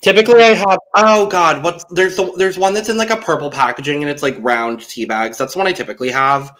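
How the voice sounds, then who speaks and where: A man's voice: on the high side, fairly even intonation, quick pace, a bit bright. A man co-hosting a casual podcast, talking in a rambling, hesitant way with dry humor. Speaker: podcast co-host.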